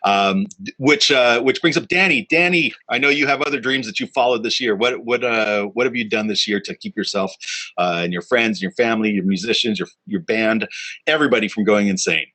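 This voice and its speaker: A man's voice: fairly low pitch, a little fast, sharp tone. A man hosting a casual chat show, speaking in an encouraging, affirming manner to his guests. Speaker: talk show host.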